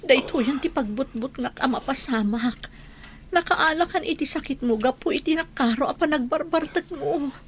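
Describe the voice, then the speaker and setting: A woman's voice: sits high, moderate pace, a little hiss or hum, close to an everyday voice. An actress with an Ilocano accent playing a worried, devoted woman in a radio drama, tender and earnest. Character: worried, devoted woman pleading with a sick man.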